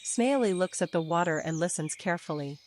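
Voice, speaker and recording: A woman narrating a scripted children's bedtime story in simple, deliberate sentences. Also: fairly low pitch, lively intonation, slight background noise. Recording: faint birdsong and nature ambience. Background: birdsong, crickets, forest ambience.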